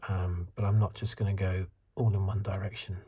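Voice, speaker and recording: A man in his fifties giving soft-spoken, whispery ASMR commentary in a hesitant, halting, unscripted way, with a breathy voice. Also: sits low, flat delivery. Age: 50s. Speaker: host of an ASMR video channel.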